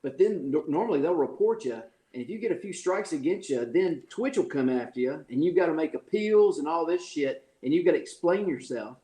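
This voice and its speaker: High lively-voiced man in his 40s. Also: a little fast, few pauses, echoey room. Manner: crude, laughing storyteller. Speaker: podcast guest, streamer. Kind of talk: casual podcast chat.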